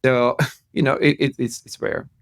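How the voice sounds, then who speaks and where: A man's voice: medium pitch, little pitch movement, normal speed, creaky, no room sound, clean studio sound. A man in his forties from Italy, speaking with an Italian accent as a podcast guest on a video call, with lots of fillers and easy laughter. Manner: warm, laughs often, many fillers. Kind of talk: remote interview. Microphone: headset on video call.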